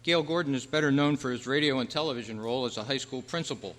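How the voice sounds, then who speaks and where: A man hosting a trivia quiz at a club meeting, reading out questions in a measured, announcing way. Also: medium pitch, a bit bright. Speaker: quiz host. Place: hall at a convention club meeting. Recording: reverberant hall, clear background noise and hum.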